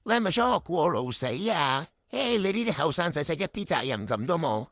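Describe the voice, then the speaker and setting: A woman acts out a petty, emotional boss in a workplace radio play. Her voice sits fairly low and leaps around animatedly as she scolds and accuses. The sound is dry and close. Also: very animated, fairly quick, no echo, full character voice. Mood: indignant, accusing. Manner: scolding, accusatory, rhetorical questioning. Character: stingy, emotional, guilt-tripping office boss. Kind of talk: Cantonese workplace radio drama acting.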